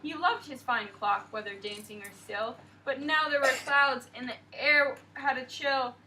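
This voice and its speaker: A young woman teacher reading a picture book aloud to a class in an expressive, sing-song way and asking questions. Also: high voice, measured pace, recorded from a distance, slight background noise, poor audio.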